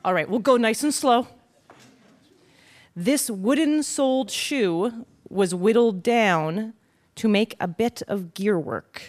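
A woman's voice: on the high side, lively intonation, takes it slowly. A woman hosting a live quiz show, chatting with contestants in an upbeat, bantering way. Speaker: game show host.

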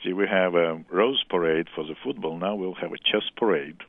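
A man from Russia with a Russian accent, a chess school director talking earnestly in halting English as an interview guest. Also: deep voice, dry recording. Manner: earnest, halting non-native English with some laughter. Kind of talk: phone interview. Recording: tinny phone line.

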